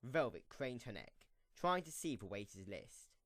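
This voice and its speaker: Male narrator for fan radio play; expressive range, dry recording. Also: mid-range voice, moderate pace, no echo. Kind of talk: scripted storybook narration for a fan audio drama.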